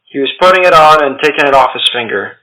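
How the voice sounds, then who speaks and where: A man playing a formal substitute teacher at an occult academy, addressing a class in a measured, scripted audio drama style. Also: on the high side, lively intonation, sharp tone, low-quality recording. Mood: composed, formal. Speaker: narrator.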